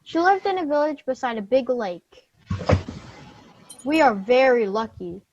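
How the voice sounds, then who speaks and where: A woman's voice: sits high, very animated, a little slow, darkish tone, slight background noise. A woman narrating a scripted tale for children as a storyteller, on slightly dull audio.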